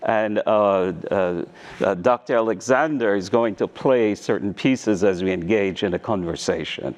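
A man's voice: on the low side, measured pace, a little mellow. A middle-aged man from Belize interviewing a guest onstage before an audience, speaking graciously and with emphasis. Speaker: interviewer, university provost.

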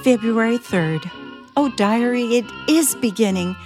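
Woman acting a high-voiced, starry-eyed shy spinster. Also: a little slow, over music, close to an everyday voice. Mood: thrilled. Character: shy, romantic forty-year-old spinster, naive and thrilled. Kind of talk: radio play acting, diary narration and dialogue.

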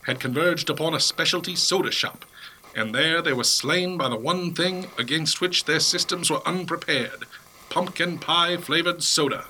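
Man acting a pompous higher-voiced radio professor. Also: normal speed, sharp tone, slight background noise, low-quality recording. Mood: insistent. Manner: melodramatic narration turning dismissive. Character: pompous, impatient male scientist professor recounting a Martian invasion. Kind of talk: 1930s radio play acting, comedy spoof.

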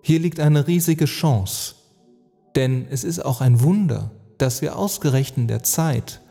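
A man delivering a measured scripted monologue in formal, academic, long sentences. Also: medium pitch, warm tone, big-room sound. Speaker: narrator giving an essay on climate. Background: music.

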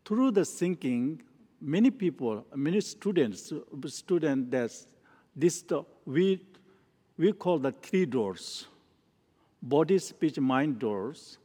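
A man from Nepal, a teacher, giving a spoken teaching in slow, halting English full of restarts. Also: fairly high pitch, animated, dark tone, breathy edge. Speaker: Tibetan Buddhist teacher. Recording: long hall reverb.

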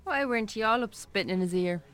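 A good-humoured Irish woman played by an actress chats on a date in a radio drama. Her pitch is mid-range. She sounds curious and gently playful, with an easy conversational manner. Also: fast, clear, resonant tone, lightly acted. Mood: curious, amused. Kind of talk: naturalistic radio drama acting.

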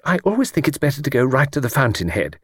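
A man voicing a gentle, chatty old English lady in an audiobook, with a thin, quiet voice and a hesitant, rambling, genteel manner. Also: medium pitch, very animated, fast, slightly rough, no echo, clean studio sound, voice pushed up. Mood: confiding. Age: older. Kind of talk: audiobook character reading.

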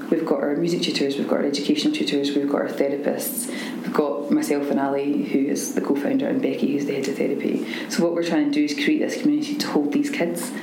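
Earnest Scottish woman, echoey room. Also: low voice. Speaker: charity founder. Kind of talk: recorded interview. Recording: echoey room with background noise.

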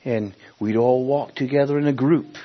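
Husky-voiced male preacher from Honduras. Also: medium pitch, fairly even intonation. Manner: conversational, halting, searching for words. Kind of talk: sermon with personal storytelling. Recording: dull, muffled lo-fi recording.

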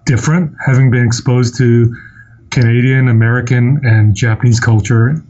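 Man, mellow voice, measured, thoughtful, dull muffled sound. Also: mid-range voice, fairly even intonation. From Hong Kong. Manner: thoughtful, measured, some hesitations. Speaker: podcast guest. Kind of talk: casual interview talk.

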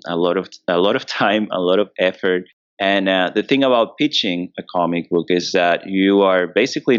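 A man from Venezuela, perhaps in his thirties, answering podcast interview questions in a relaxed way, thinking aloud with fillers and restarts. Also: low-pitched, clean tone, dry recording, highs cut off. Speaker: comic book writer and guest.

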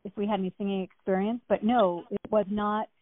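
A woman's voice: mid-range voice, level tone, a little fast, a little hiss or hum. A woman talking as an actress guest in a casual interview, chatty and rambling with many fillers and restarts. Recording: tinny phone-like line.

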